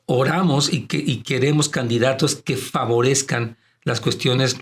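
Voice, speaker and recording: A man's voice: medium pitch, steady tone, measured pace. A man with a Latin American Spanish accent and a strong, projecting voice, speaking as a pastor hosting a church program and explaining his views conversationally to his brethren. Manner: addresses listeners as brethren, explanatory. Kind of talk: conversational religious and political commentary.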